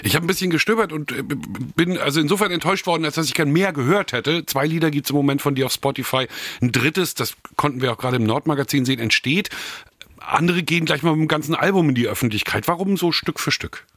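A man's voice: on the high side, expressive, fast, fairly bright tone, no room sound. A man hosting a regional music program, interviewing guests and narrating features. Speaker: radio show host. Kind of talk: interviewer and scripted magazine narration.

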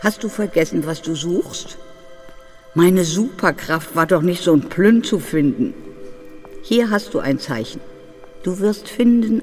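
A woman's voice: sits low, a little mellow. An angelic grandmother in long reverb. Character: dead grandmother speaking from heaven, angelic, wryly loving. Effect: long heavenly reverb. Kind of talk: German radio play acting. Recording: long reverb with music behind. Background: music.

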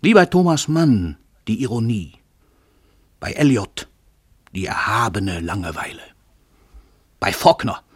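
An actor playing a world-famous crime writer, an arrogant, cynical murderer who declaims in a domineering, emphatic way in a radio drama. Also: male voice, a little high, husky, no echo, studio quality. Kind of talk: theatrical German radio drama monologue.

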